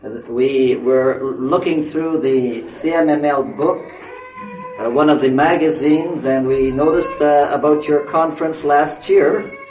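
An older man, a missionary addressing a conference audience in a halting way, full of fillers and restarts. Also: a little high, darkish tone, slight background noise. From Northern Ireland. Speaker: missionary speaker. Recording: roomy hall recording from a distance.

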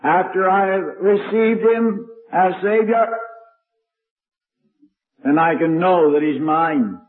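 Tinny old recording of an older preacher. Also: male voice, high-pitched, slow. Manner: strong carrying voice, storytelling. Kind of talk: old-time sermon.

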